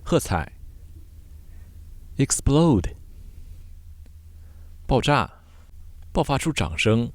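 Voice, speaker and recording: Man, vocabulary teacher, slow measured delivery, short clipped phrases, dry close recording, scripted lesson style. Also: medium pitch, sing-song, no echo. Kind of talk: scripted word-by-word vocabulary explanation.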